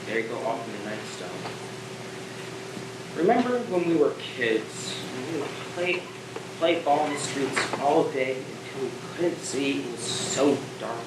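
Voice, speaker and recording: A young man on stage playing a brooding, wistful spaceship captain facing death, in halting, reflective monologue. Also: medium pitch, lively intonation, lightly acted. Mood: wistful, subdued. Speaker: student stage actor. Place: school theatre stage. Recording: echoey stage, distant mic, clear background noise.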